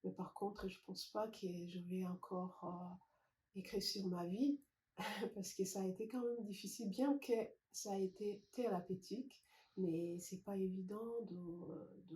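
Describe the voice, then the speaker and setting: A middle-aged woman from Rwanda, an author giving a personal testimonial in a hesitant, measured, sincere way. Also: medium pitch, mellow. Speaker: author presenting her book. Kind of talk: unscripted personal testimonial to camera. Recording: roomy echo, faint background noise.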